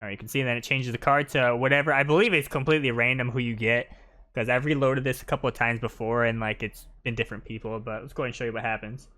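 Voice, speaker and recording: A man's voice: mid-range voice, steady tone, fast talker, pushed and bright. A man giving casual, playful live commentary on a video game. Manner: playful, hams up cutscene dialogue.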